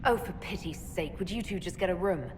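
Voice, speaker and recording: A woman's voice: on the low side, brisk, a little mellow, close to an everyday voice. A woman playing a composed female elf mage leader in a video game, questioning with dry authority. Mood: exasperated. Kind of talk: video game cutscene acting. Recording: echoey reverb, clear background noise.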